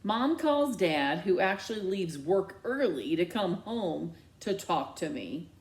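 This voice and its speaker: Female read-aloud narrator, lowish pitch with big expressive swings, dramatic storytelling. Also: slow pace.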